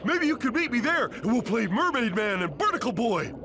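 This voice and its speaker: A man's voice: extremely high pitch, very animated, brisk, background noise, exaggerated voice. A man voicing a dim, happy-go-lucky pink sea creature in a cartoon game, goofy and carefree.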